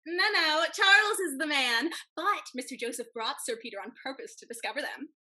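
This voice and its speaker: A young actress playing an excitable, gushing society gossip in a period stage comedy, emphatic and insistent. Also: female voice, high voice, fairly quick, exaggerated voice. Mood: excited, insistent. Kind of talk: theatrical period comedy acting. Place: room at home on a video call.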